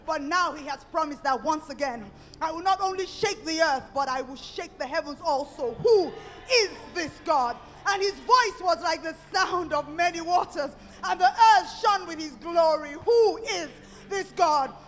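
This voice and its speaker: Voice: very high voice, moderate pace, a bit bright, in a large hall. A preacher delivering a sermon in a rhythmic style, returning again and again to one refrain.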